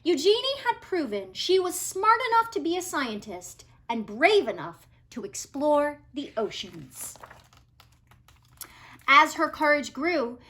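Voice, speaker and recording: A woman narrates a children's picture book in a high, very lively voice. She takes it slowly, leaning on important words and pausing for dramatic effect. The delivery is expressive scripted storytelling. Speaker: read-aloud storybook reader.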